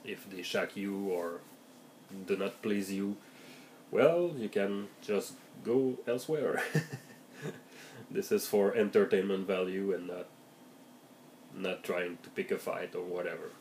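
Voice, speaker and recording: A man with a heavy cold, his voice raspy, talking casually and hesitantly with many fillers as he shares tips for game masters. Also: low voice, slow pace, pauses often, a little mellow, a little hiss or hum. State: has a heavy cold, voice deeper and raspier than usual. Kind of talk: casual vlog monologue.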